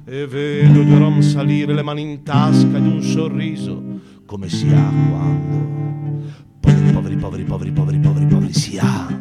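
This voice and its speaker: A man's voice: a little high, normal speed. A man singing a repetitive, chanted acoustic protest folk song. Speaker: singer and guitarist. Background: acoustic guitar.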